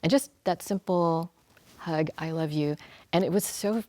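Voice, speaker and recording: A woman's voice: on the low side, measured pace. A woman on a panel sharing personal stories in a chatty way with frequent fillers. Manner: warm, chatty, many fillers, laughs. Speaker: panel speaker. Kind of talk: panel discussion.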